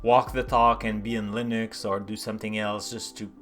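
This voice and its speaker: Quebecois french accented guy, lowish voice, chatting tech, kinda halting, faint music behind. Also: level tone, a bit bright. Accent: Québécois French. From Canada, Quebec. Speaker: co-host developer. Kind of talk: casual tech chat.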